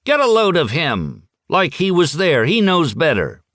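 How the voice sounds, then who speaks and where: Man playing a blustering old drunk, touchy, boastful, pitched up high, swinging voice, dry close sound. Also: huge pitch swings, unhurried, fairly bright tone, dry recording, exaggerated voice. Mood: aggrieved. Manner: indignant, blustering storyteller.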